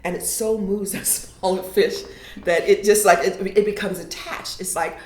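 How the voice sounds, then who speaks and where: A woman poet reading her verse slowly and dramatically, sinking to whispers at times, then talking casually about her writing. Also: medium pitch, fairly even intonation, slight background noise. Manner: slow, dramatic reading with hushed whispered lines. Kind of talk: poetry reading and casual interview. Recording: echoey room.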